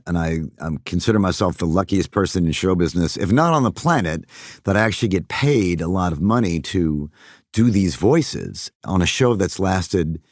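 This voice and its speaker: Low gravelly male voice actor, reflective, studio-close; drops into character voices. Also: no echo. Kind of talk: reflective podcast monologue.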